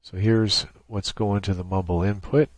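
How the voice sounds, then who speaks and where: Low-voiced man giving a technical walkthrough. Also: fairly even intonation, normal speed, no room sound. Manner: matter-of-fact, technical explaining. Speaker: software tutorial presenter. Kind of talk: unscripted screen-share walkthrough. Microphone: USB microphone with gate and compressor.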